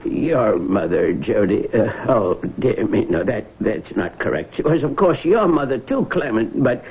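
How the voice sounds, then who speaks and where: Fussy, husky country lawyer. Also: male voice, lowish voice, very animated, a little slow, full character voice. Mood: flustered. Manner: fussy, stammering, formal. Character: fussy, flustered country lawyer, apologetic and formal. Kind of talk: 1970s radio drama acting. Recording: thin phone-like old radio broadcast.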